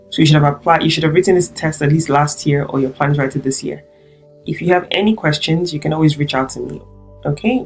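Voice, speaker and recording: A woman's voice: low voice, darkish tone. A woman from Nigeria, a French teacher and channel host, explaining step by step and often checking in with her viewers. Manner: encouraging, checks in with "okay?". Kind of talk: explainer lesson to camera.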